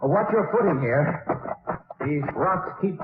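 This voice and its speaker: A man's voice: fairly high pitch, lively intonation, measured pace, background noise. An actor playing an eager, observant crewman in a 1940s radio drama, earnest and inquisitive. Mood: alert, observant. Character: eager, observant young crewman exploring alien ruins. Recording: muffled tinny old radio recording.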